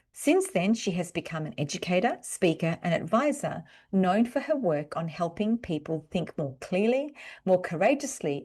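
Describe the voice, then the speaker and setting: Woman podcast host, voice a bit on the low side, doing intros and interview questions. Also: darkish tone. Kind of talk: scripted introduction and interview hosting.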